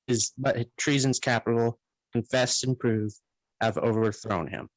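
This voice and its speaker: A young man playing a grave nobleman in a Shakespearean radio play, speaking measured, formal verse. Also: mid-range voice, fairly even intonation, dry recording, natural voice, rough recording. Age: young adult. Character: grave nobleman reporting on a condemned traitor.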